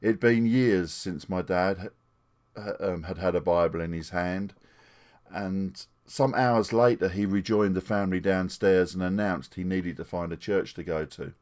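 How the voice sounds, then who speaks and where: A man's voice: low-pitched, measured pace, darkish tone. A retired pastor in his sixties from England, telling his life story to camera in an earnest, plainspoken way. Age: 60s. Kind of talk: personal testimony to camera. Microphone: desktop microphone.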